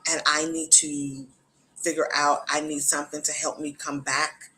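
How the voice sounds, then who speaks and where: A woman's voice: low-pitched, flat delivery, moderate pace, pushed and bright, low-quality recording. A middle-aged woman hosting a talk show, speaking in an earnest, repetitive way and often checking in with the listener. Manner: earnest, repetitive, often asks "you know what I'm saying". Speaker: host. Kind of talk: casual talk show chat.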